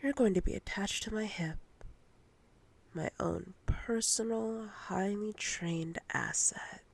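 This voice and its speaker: A woman playing a dominant, possessive female boss in roleplay ASMR, commanding and teasing in a breathy, often whispered voice. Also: mid-range voice, pitched above the performer's own voice. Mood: seductive, controlling. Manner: slow, deliberate, commanding.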